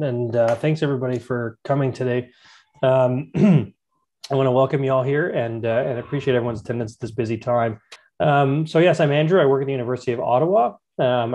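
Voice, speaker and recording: Male webinar presenter, mid-pitch, casual lecturing tone, video-call mic. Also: a little mellow. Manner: conversational, informal lecturing.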